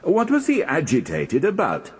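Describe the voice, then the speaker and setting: A formidable British prosecutor in a radio courtroom. The hall rings long around his rough-edged voice. He is formal and insistent, leaning hard on each question. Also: fairly high pitch, huge pitch swings, normal speed, exaggerated voice. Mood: pressing. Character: formidable, pressing male Crown prosecutor, forceful and relentless. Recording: long echo of a large hall.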